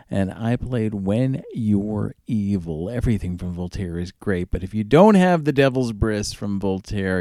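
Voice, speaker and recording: Male music-show host, mellow and creaky, casual patter with emphasis on names, dry close sound. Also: lowish voice, no echo. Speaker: radio DJ hosting a music show. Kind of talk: casual radio DJ patter between songs.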